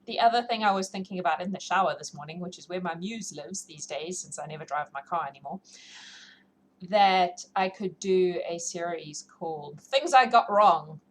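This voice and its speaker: Female streamer, lowish voice, informal rambling chat with self-deprecating humour, slightly noisy live audio. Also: moderate pace. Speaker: livestream host who reads books aloud. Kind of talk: casual livestream chat to viewers. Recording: clearly noisy livestream audio.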